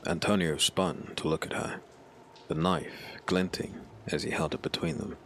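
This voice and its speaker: A man narrating a dark fantasy audiobook in a solemn, dramatic, scripted style. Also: low voice, measured pace, vocal fry, a little hiss or hum. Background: background music.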